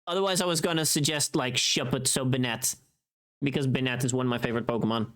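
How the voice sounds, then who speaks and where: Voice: mid-range voice, fairly even intonation, fast. A livestreamer chatting casually while working, rambling and muttering to themself. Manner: rambling, muttering to self, humming. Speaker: livestreamer, voice actor.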